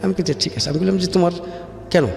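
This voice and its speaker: A man with a Bangladeshi accent telling his personal story as a radio interview guest, haltingly and often repeating words. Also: high-pitched, fairly even intonation, gravelly, darkish tone. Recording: echoey hall-like reverb with music. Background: music.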